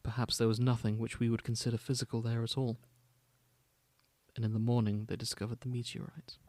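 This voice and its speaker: A man co-hosts a casual fanfiction podcast, moving between chat and reading aloud. His voice sits at an ordinary male pitch. The sound is very dry, with no room around it. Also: little pitch movement, fairly quick, a little mellow, no room sound. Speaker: podcast co-host.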